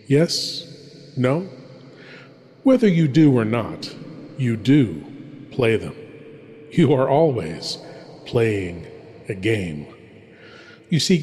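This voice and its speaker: Man performing a sinister horror lord, theatrical narration, deliberate pauses, slow delivery, long echoing reverb. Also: medium pitch, a little mellow. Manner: dramatic, deliberate, portentous pauses. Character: sinister horror host lord, grandly eerie and intimate. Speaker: horror show host. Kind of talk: theatrical scripted horror introduction.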